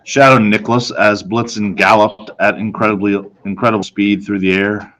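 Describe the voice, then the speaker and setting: A man with a fairly low voice narrates a storybook for children. He reads dramatically and leaves deliberate pauses for effect. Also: fairly even intonation, a bit bright, poor audio. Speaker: storybook reader.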